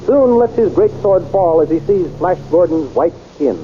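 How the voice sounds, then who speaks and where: High-voiced narrator of an old radio serial. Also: male voice, animated, normal speed. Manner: dramatic storytelling. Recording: muffled old radio recording with hiss.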